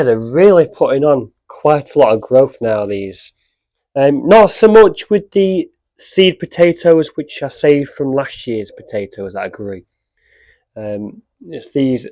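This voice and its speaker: A man with a cold and a slightly croaky voice, chatting casually in a gardening vlog. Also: medium pitch. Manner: chatty, relaxed, with many fillers. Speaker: allotment vlogger. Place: outdoors at an allotment.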